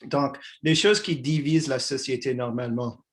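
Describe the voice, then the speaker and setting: An older man talks as a guest in an easygoing dialogue. He speaks at a middle pitch, pausing and restarting his phrases often. He is from Quebec. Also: fairly even intonation. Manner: halting, many restarts and fillers. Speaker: guest, peace activist. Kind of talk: conversational dialogue.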